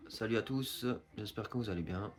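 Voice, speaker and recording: A man's voice: lowish voice, a little fast. A man in his thirties chatting casually beside his partner, hesitant and full of fillers. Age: 30s. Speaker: vlogger's partner. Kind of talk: casual chat.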